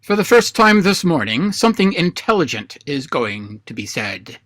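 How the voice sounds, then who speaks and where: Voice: medium pitch, very animated, moderate pace, a bit bright, dry recording. An actor in a radio play reading, voicing an imperious, scornful president of an anarchist council in a pompous, drily mocking way. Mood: commanding, grave. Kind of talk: radio play read aloud. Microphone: video call.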